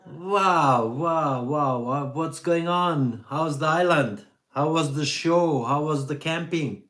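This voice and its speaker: Middle-aged Hungarian-accented male host, slow and easygoing, live stream Q&A. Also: a little high. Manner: slow, relaxed, pauses with fillers. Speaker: vanlife channel host. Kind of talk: live stream Q&A reading chat.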